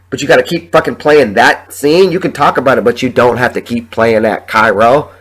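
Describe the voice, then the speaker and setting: A young man hosting his own podcast while sick with the flu, sniffling and talking in a halting, rambling, emotional way with plenty of swearing. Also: mid-range voice, slightly rough. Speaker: podcast host. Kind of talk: solo podcast monologue.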